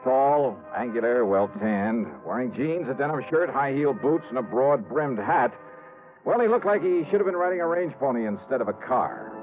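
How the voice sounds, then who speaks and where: A male actor as an inquisitive private investigator of golden-age radio mystery. His American voice sits at a middling pitch, hardboiled in both narration and dialogue. The recording is muffled and narrow, like an old broadcast. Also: lively intonation, normal speed, faint music behind, natural voice. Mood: narrating, wry. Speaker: lead detective and narrator. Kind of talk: 1950s hardboiled radio drama acting.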